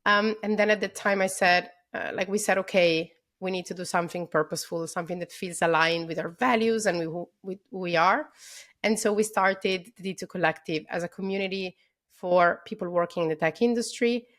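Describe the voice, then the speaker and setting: An Italian woman in her thirties speaks as a guest on a podcast recorded over a video call. Her Italian accent is clear, and her talk is casual and enthusiastic, full of "um" and "like" as she goes. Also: on the low side, brisk, a bit bright. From Italy. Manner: enthusiastic, many fillers like "um" and "like". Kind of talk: casual interview conversation.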